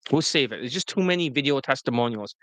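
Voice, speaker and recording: A man's voice: animated, fast, a bit bright, no echo. Brash, profane male dating coach. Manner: brash, profane, mocks students in high-pitched quoted whines. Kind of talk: blunt promotional coaching talk.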